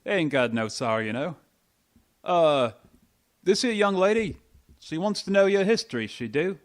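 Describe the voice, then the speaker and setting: A man voicing an impatient griffin in a storybook radio play, with rough, folksy, uneducated speech. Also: fairly high pitch, very animated, moderate pace. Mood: scornful, breezy. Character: gruff, familiar, impatient male griffin, half lion half eagle.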